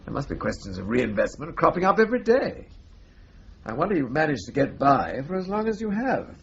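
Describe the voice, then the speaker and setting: An actor playing a brisk, impatient senior solicitor in a radio play. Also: male voice, a little high, big pitch swings, creaky, husky, exaggerated voice. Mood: stern. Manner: brisk, stern, businesslike. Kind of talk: radio drama acting. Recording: dull lo-fi radio recording, faint hiss.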